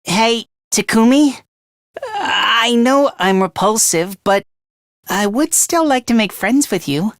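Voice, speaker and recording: A man voicing a meek, self-loathing young anime game character in a high, light voice, hesitant and apologetic, stammering as he puts himself down. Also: animated, slow, fairly bright tone, no room sound, studio quality, full character voice. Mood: shy, awkward. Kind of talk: anime-style video game voice acting.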